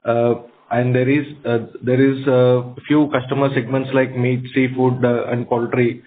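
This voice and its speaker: A company executive, a man, giving halting, piecemeal answers on an earnings call over a noisy phone line. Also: medium pitch, level tone. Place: conference call. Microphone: telephone.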